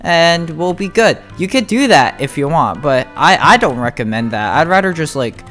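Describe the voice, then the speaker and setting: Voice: mid-range voice, lively intonation, moderate pace, fairly bright tone. A gamer giving a casual, chatty video game walkthrough, talking informally and straight to viewers. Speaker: gamer making a tutorial. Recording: music playing behind the voice. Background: music.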